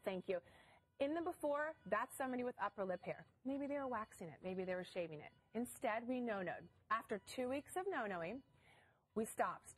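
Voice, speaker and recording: A woman with an Ohio accent, pregnant, giving an emphatic product pitch on a shopping channel. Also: poor audio. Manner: upbeat, emphatic selling patter. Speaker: product spokeswoman.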